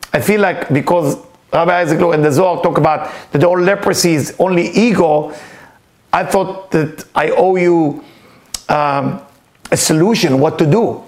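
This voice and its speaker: Man with a high-set voice, Hebrew as his first language, earnest teacher who repeats points and questions himself aloud. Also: a little mellow. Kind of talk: informal spiritual lesson, reading and explaining.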